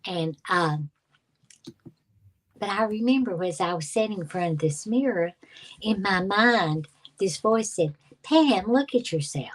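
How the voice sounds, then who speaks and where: A woman's voice: mid-range voice, animated, unhurried. An older woman, a guest psychic reader, casually recounting a personal memory with many restarts and fillers. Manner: reflective storytelling with many restarts. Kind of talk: casual conversation.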